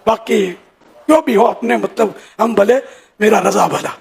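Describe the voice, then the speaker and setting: A man's voice: sits high, unhurried, creaky, a little mellow. An older man, a religious leader, giving an informal sermon of advice to his followers.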